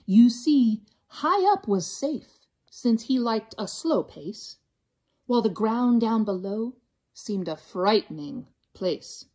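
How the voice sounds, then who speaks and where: A woman reading a rhyming storybook aloud to children in an expressive, theatrical, drawn-out way. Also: fairly high pitch, slightly rough.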